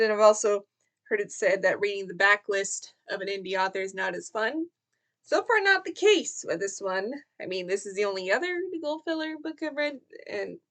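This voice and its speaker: A woman giving a casual, chatty book review as a vlogger, in an informal, hesitant way that often trails off and rephrases. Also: medium pitch.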